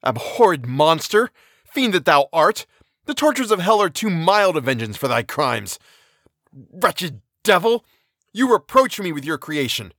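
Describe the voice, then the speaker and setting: A man's voice: medium pitch, moderate pace, a bit bright, dry recording, clean studio sound, lightly acted. A man voicing a furious young male scientist with raised, declamatory outbursts in an audiobook reading.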